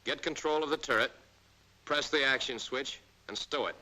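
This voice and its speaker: A man reads a scripted training-film narration at a middle pitch. He is brisk and direct and talks straight to the viewer as "you" while he walks them through each step. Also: bright, forceful tone, poor audio.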